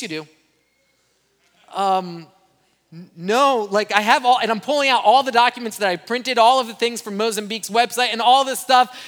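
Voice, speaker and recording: High, bright-voiced young man pastor. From Brazil. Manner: candid, self-deprecating storytelling. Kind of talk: church missions report talk. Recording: long reverb from a church hall.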